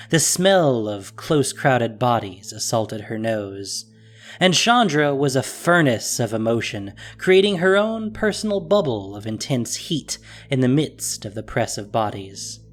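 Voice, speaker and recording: A narrator reading a scripted fantasy audio drama in an expressive, deliberate storytelling manner. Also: medium pitch.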